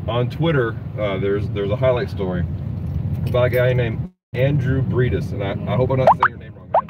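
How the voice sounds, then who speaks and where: A man in his thirties tells stories casually as a co-host. He sounds distant from the microphone and a bit muffled. Loud road noise from inside a moving car runs behind his voice. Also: mid-range voice, moderate pace. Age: 30s. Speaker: co-host of a geek culture video show. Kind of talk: casual chat and storytelling. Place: inside a car while driving. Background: road and traffic noise.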